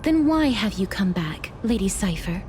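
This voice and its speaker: A woman voicing a gentle, solemn young guide of souls in a video game, with soft, formal, measured speech. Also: mid-range voice, very animated, lightly acted. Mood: questioning. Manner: soft, measured, formal, breathy phrase endings. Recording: background music under the voice.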